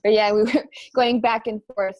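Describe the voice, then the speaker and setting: A woman's voice: medium pitch, level tone, moderate pace, darkish tone, no echo. Easygoing woman, dry sound. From Mexico. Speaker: band singer, podcast guest. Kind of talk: casual interview chat.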